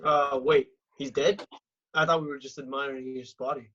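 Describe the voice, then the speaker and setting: Guy doing cocky self-absorbed housemate, comedy read, husky, muffled lo-fi audio. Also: medium pitch, little pitch movement, moderate pace, a bit bright, lightly acted. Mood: deadpan boasting. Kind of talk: comedic script table read.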